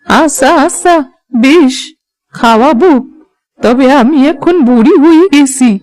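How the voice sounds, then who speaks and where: A woman acting an old village grandmother for a cartoon, pitched very high. She is kindly and indulgent, with a mock-fussy, homely way of talking. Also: unhurried, a little mellow.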